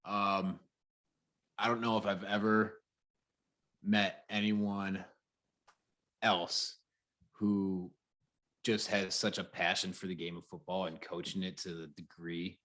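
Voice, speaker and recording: A man co-hosting a casual podcast, earnest and halting with lots of fillers. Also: low-pitched, little pitch movement, sharp tone. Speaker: podcast co-host. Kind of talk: casual chat.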